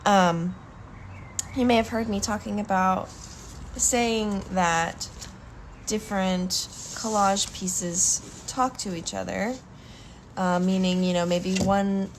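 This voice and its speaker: Slow, soft-spoken woman with a cold. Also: lowish voice, slight background noise. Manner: slow, soft-spoken, hesitant with many ums. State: stuffy-nosed from a cold. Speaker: collage artist vlogger. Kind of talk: calm art-demonstration vlog.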